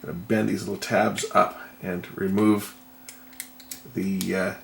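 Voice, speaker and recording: Male workshop vlogger, fry-heavy and fairly deep, musing tentatively through a hands-on repair. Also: little pitch movement. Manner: thinking aloud, tentative and unhurried. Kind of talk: casual hands-on repair commentary. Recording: noticeable background noise.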